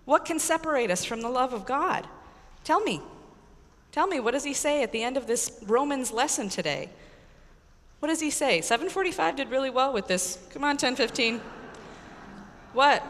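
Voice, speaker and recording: A middle-aged American woman priest giving an earnest, emphatic sermon with deliberate pauses and repetition. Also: sits high, lively intonation, fairly bright tone. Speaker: Episcopal priest preaching. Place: large church with congregation, indoors. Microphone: pulpit microphone. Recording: echoey church hall reverb.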